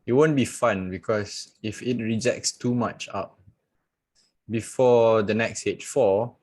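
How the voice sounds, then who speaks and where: A man teaching trading on a live video call, chatty and relaxed, laughing and talking straight to his viewers. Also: on the low side, slow pace. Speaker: trading coach. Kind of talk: casual live-stream trading lesson.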